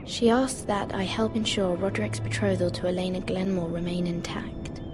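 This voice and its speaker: An actress playing a careful young noblewoman in a fantasy game, polite and gentle, with music behind. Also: lowish voice, steady tone, normal speed, lightly acted. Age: young adult. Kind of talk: dramatic video game acting.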